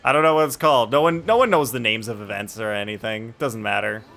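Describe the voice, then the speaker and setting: A man's voice: medium pitch, quick pace, gravelly, fairly bright tone. A man chatting casually on a game livestream, informally thinking aloud as he plays. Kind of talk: casual livestream gaming chat. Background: music.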